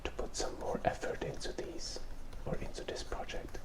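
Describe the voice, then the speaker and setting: A person with a cold whispers softly and with pauses, giving ASMR drawing commentary close to the microphone. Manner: soft whispering, hesitant pauses. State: has a cold. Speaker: ASMR host and illustrator. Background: drawing tablet and keyboard sounds.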